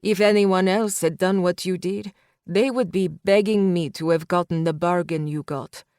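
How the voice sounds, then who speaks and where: A woman reading an audiobook, voicing a cold, threatening male gangster who speaks low and taunting. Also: fairly even intonation, moderate pace, darkish tone, smooth, no echo, studio quality, close to an everyday voice. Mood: contemptuous.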